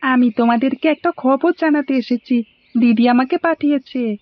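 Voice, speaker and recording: A woman's voice: sits high, moderate pace, close to an everyday voice. A woman voicing a cheerful, helpful little schoolboy in a cartoon, with a Bangladeshi accent. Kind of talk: animated cartoon dialogue. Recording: dull lo-fi audio.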